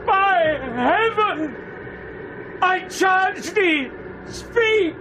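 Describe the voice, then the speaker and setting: Learned British sceptic in Shakespearean radio drama, high voice, slow measured declamation, noisy ambient recording. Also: male voice, very animated. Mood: awed, commanding. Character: sceptical scholarly male friend of a prince, shaken and awed.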